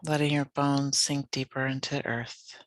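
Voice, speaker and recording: A yoga nidra guide leading a guided meditation in a gentle, soothing, unhurried manner. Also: medium pitch, flat delivery, dry recording.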